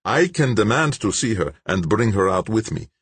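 A man's voice: fairly low pitch, big pitch swings, normal speed, husky, no room sound, voice pushed up. A man voicing a stubborn, wary Russian man in a novel, speaking formally and emphatically. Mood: grave warning.

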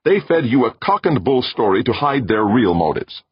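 A man's voice: mid-range voice, animated, quick pace, slightly rough, no echo, dull audio, voice pushed up. A man voicing a hostile, suspicious male doubter with an insistent, accusing manner in an audiobook. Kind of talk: audiobook character voice.

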